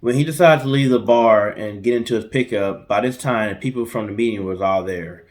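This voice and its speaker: A man with a lowish voice hosts a storytime show. He tells the story in an informal, colloquial way and slips in casual remarks as he goes. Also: brisk. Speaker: co-host of a storytime guessing-game show. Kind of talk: storytelling from notes with casual banter.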